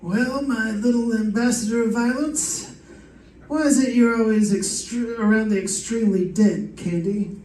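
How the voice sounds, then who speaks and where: A man's voice: high-pitched, measured pace, darkish tone. A man playing a sarcastic, clipped, bossy police detective in an old-time radio drama. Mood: sardonic. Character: sardonic, gruff-talking male police detective. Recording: roomy, distant stage pickup.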